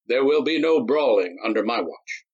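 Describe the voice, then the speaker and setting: A man's voice: a little high, expressive, normal speed, slightly rough, highs cut off, pitched above the performer's own voice. A man doing a stern, pompous senator. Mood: commanding. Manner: stern, formal, commanding.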